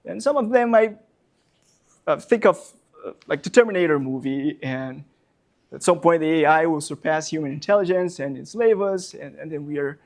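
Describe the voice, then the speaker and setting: A slightly high-voiced man with a Brazilian Portuguese accent, a non-native speaker, giving a public talk as a professor. He speaks haltingly, stacking fillers and repeating words as he searches for phrasing. Heard in a public library talk room. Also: a little mellow. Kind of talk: public lecture.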